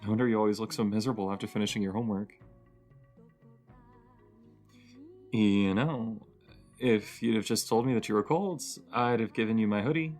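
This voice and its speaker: A woman's voice: quick pace, a little mellow, soft background music. A woman playing a cozy, teasing young roommate with a shy crush in an intimate audio roleplay, her voice sleepy and grumbly. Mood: casual, caring. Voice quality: sleepy, grumbly low voice with creak.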